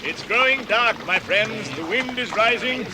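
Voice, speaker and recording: A bossy, declamatory British dwarf leader, raised animated voice, vintage radio play with clear background noise. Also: male voice, sits high, a little slow. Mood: commanding. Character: commanding, pompous male dwarf leader. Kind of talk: old-fashioned radio drama acting. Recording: noisy vintage radio drama recording.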